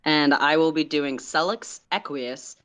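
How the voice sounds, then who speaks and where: A woman's voice: sits low, a little slow, fairly bright tone, clear, resonant tone. A woman co-reading on a livestream, narrating a story informally. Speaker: livestream co-reader.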